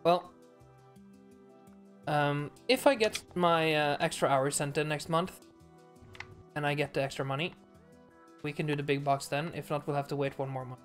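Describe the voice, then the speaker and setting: Male streamer, light soft voice, laid-back chatter over a call, faint background music. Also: fairly high pitch, fairly even intonation, moderate pace, long pauses. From Norway. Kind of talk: casual chat on stream.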